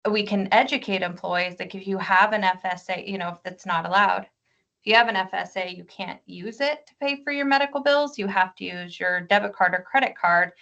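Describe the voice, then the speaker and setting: A woman's voice: mid-range voice, brisk, creaky, sharp tone. Woman on dull, lo-fi meeting audio. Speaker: board member. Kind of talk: conversational questioning in a meeting.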